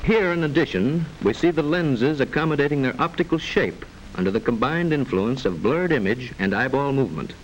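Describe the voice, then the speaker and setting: Lively vintage educational film narrator, a man. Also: medium pitch, rough recording. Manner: formal, measured, didactic, precise enunciation. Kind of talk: scripted mid-century educational film narration. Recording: hissy vintage mid-century film soundtrack.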